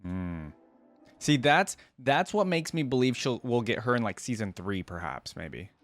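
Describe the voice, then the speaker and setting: A man's voice: medium pitch, big pitch swings, measured pace. A man hosting a reaction video, thinking aloud in casual, meandering commentary. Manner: musing, rambling, hedging.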